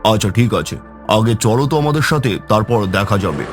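A man playing a gentle, anxious father in a cartoon, speaking in a coaxing, affectionate way. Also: on the low side, lively intonation, normal speed, soft background music, close to an everyday voice. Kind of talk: cartoon voice acting.

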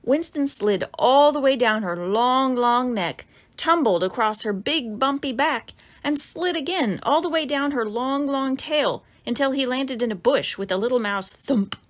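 A woman reading her own children's picture book aloud, with expressive storybook narration and dramatic exclamations for young listeners. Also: on the high side.

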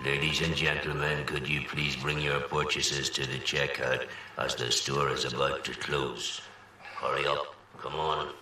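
A man playing an impatient, weary shop announcer in a comedy, giving a flat closing-time announcement. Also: rumbling low, unhurried. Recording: echoey, distant, very noisy tannoy announcement.

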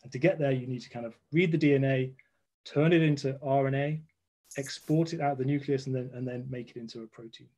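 Mellow-voiced man explaining science on a call. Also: medium pitch, fairly even intonation, quick pace. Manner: thoughtful, restarts words, explains step by step. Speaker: guest scientist. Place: home room with red walls. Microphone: video call.

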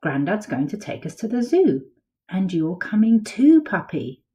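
A woman's voice: mid-range voice, sing-song, a little slow, dark tone. A woman voicing a sensible young girl in a children's storybook, explaining things patiently.

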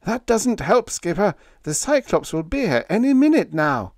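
Sci-fi story officer male reader acting: young, clever and calm, lifted pitch, soothing and measured, quietly in command. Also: no room sound, clean studio sound. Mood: quietly confident. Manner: soothing, deliberate, polite. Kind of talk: dramatised science fiction story reading.

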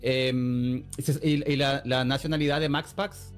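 A man's voice: mid-range voice, fairly even intonation, unhurried, faint music behind. A man from Chile, a guest on a casual podcast, asking curious questions with hesitant restarts.

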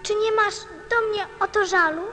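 A woman's voice: very high-pitched, steady tone, moderate pace, bright, forceful tone, soft background music. A woman playing a sensitive, wistful young boy prince, earnest and childlike, in a radio drama. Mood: hesitant, sad.

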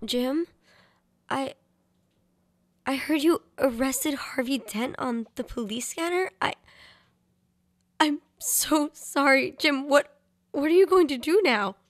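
A woman's voice: high-pitched, slow pace, long pauses, no echo. An actress playing a worried, sympathetic policeman's wife in a radio noir drama, speaking hesitantly. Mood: worried, tender.

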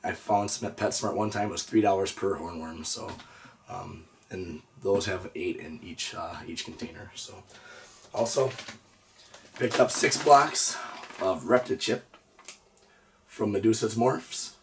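A hoarse man in his thirties, a reptile breeder hosting his own channel, chatting easygoingly with lots of "uh" and "so". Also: deep voice, measured pace, recorded from a distance, slight background noise. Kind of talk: casual show-and-tell vlog. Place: indoor reptile room with storage drawers.

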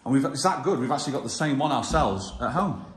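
A man's voice: medium pitch, expressive, quick pace. A middle-aged man, chatting casually to camera and partner as he vlogs at home. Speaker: vlog host. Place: small to medium bare rooms indoors. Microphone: handheld camera at arm's length. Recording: echoey bare tiled rooms, some noise.

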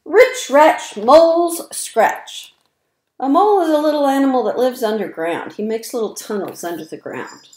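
Female children's read-aloud voice, fifties, fairly high, deliberate emphasis, roomy webcam recording. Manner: slow, deliberate, expressive word emphasis. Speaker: early childhood educator reading aloud. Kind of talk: picture-book read-aloud for young children. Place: indoor room at home, by windows. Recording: roomy, echoey webcam sound.

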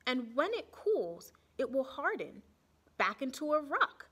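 A woman voicing a bright, excited schoolgirl in a storybook, speaking eagerly and emphatically. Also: sits high, unhurried, full character voice. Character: bright, excited schoolgirl working out science puzzles.

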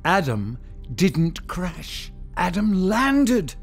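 An anguished professor in a sci-fi radio play, high in pitch for a male voice. He speaks in halting, emphatic bursts that swing widely up and down, over faint music. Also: sing-song, slightly rough, full character voice. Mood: excited realisation. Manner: halting, repeats himself, emphatic. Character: anguished, excitable male professor and protective father. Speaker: actor. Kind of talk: audio drama acting.

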